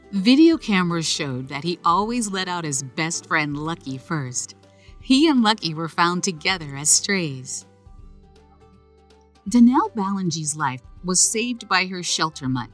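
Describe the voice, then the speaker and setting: A woman reading a children's story aloud in a slow, gentle storytelling manner. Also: fairly low pitch. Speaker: narrator. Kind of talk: children's read-aloud narration.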